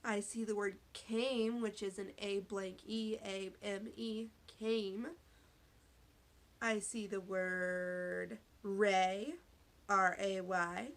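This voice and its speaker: A woman teacher giving a read-aloud phonics lesson to young children, speaking deliberately, spelling out words and asking questions. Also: medium pitch, little pitch movement. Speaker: elementary school teacher.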